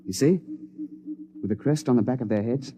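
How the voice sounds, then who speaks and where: An actor playing a stern, dryly sardonic British big-game guide in a 1950s radio drama, with clipped gentlemanly speech. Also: male voice, medium pitch, normal speed, noisy background, natural voice. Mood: dry, assured. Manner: clipped, old-fashioned gentlemanly, dry. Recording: dull vintage 1950s radio recording, music behind.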